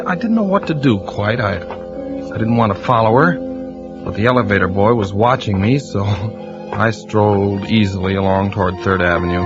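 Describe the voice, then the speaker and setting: A man's voice: fairly low pitch, close to an everyday voice. A man playing a nervous insurance investigator. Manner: halting, uneasy, with nervous little laughs. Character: anxious male insurance investigator and husband. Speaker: narrator and lead character. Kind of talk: 1940s radio drama acting. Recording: lo-fi vintage radio broadcast with music.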